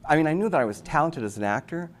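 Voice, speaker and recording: A man telling anecdotes as an interview guest, chatty, animated and self-deprecating. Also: fairly high pitch, very fast. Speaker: interview guest, actor and writer. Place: busy convention event room. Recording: clearly noisy live event room recording.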